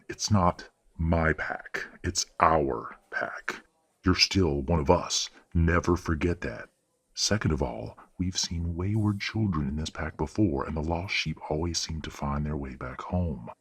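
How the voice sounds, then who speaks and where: A man playing a stern, courteous, middle-aged werewolf father in an audio roleplay drama, speaking in a formal, measured, authoritative way. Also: very deep voice, slightly rough, heavily acted.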